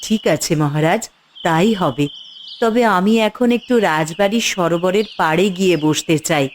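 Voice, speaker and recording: A woman playing a gentle, devoted young queen in a fairy-tale cartoon, speaking softly and courteously with theatrical delivery. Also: on the low side, moderate pace, a little hiss or hum, lightly acted. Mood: polite, reassuring.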